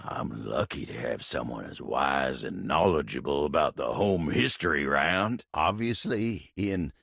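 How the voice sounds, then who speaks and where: Deep gravelly cowboy for a game, slow western american drawl, shy lonesome living table, gruff but kind. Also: male voice, animated, a bit bright, no echo, studio quality, heavily acted. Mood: guarded, folksy. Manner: folksy, halting, stammering cowboy talk. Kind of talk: video game character dialogue.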